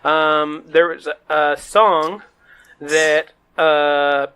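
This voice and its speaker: A man's voice: fairly high pitch, steady tone, very slow, pushed and bright, clear, resonant tone. A man hosting a podcast, chatting casually with the others. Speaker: podcast host.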